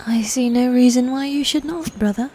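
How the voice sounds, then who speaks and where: A woman acts out a sadistic, ambitious knight sister in a medieval fantasy roleplay. Her highish voice is soft-edged and mellow, delivered slowly in formal, theatrical phrases, with noticeable ambient noise behind it. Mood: smug. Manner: theatrical, archaic formal phrasing, slow and deliberate. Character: proud, sadistic female knight, twin sister, playfully cruel and ambitious. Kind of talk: scripted medieval fantasy audio roleplay, ASMR.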